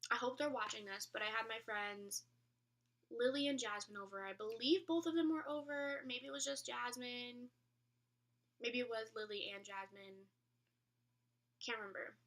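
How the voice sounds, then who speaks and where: A woman's voice: a little high, moderate pace, long pauses, fairly bright tone, recorded from a distance. A young adult woman telling a personal story in a casual vlog style, rambling and correcting herself, with emphatic repetition. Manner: rambling, colloquial, self-correcting; emphatic, repeats phrases for effect. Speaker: vlogger.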